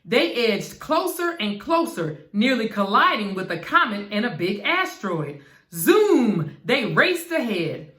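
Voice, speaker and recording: A woman reading a children's bedtime story aloud as an expressive narrator. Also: medium pitch, a little slow. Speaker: storybook reader. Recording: echoey room.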